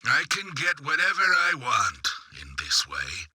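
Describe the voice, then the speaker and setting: An actor playing an eccentric, knowing businessman in a video game, dramatic and drawn-out, with a rough, breathy voice that often drops to a whisper. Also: fairly high pitch, huge pitch swings, bright, forceful tone, dry recording, clean studio sound, exaggerated voice. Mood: grand, lecturing. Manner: dramatic, drawn-out, cryptic, lecturing. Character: eccentric, theatrical, knowing rich businessman informant. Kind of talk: theatrical video game voice acting.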